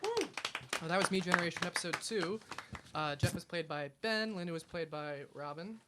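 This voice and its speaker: A man's voice: high voice, sing-song, a little fast, very noisy. A young man hosting a radio show with casual, unscripted interviewing. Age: young adult.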